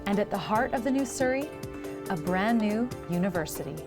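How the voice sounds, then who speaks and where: A woman reporter reading scripted voice-over narration for a travel segment in a smooth, even delivery. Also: mid-range voice, brisk. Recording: music bed behind narration.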